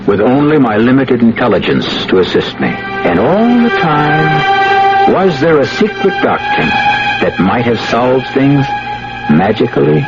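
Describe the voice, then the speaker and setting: An actor playing a weary, wry priest who muses in a dry, theatrical way in a 1970s radio drama. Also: male voice, medium pitch. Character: weary, wry male village priest, pondering his life. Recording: muffled old radio broadcast, loud music.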